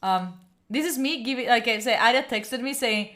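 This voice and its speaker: A woman's voice: a little high, normal speed, a bit bright. A young woman with an Italian accent chatting casually on a live stream, rambling and giggling with lots of fillers. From Italy. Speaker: study streamer.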